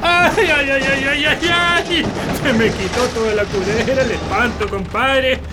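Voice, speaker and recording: A man's voice: extremely high pitch, a little slow, heavy background noise. A man playing a frightened Chilean country fellow in a radio drama, exclaiming in alarm. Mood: startled. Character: frightened rural man, tipsy compadre type.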